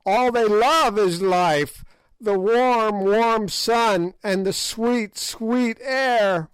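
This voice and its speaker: A man's voice: drawn-out words, clean tone, dry recording, poor audio. A man voicing an ancient, evil witch woman who shrieks and cackles in old-fashioned speech. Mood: ranting. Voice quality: shrill, cackling.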